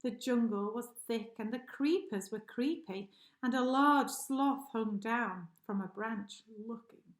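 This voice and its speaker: A woman's voice: on the high side, takes it slowly. A woman reading a rhyming children's story aloud expressively, like a teacher addressing pupils. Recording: echoey room.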